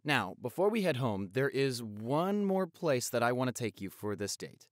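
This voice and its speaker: Young male lead acted by a man: affectionate, playful doctor boyfriend for intimate audio roleplay, chatty, with very lively intonation. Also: mid-range voice, moderate pace, dry recording, clean studio sound, lightly acted. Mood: chatty, amazed. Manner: gushing, chatty, with repeated words and exclamations.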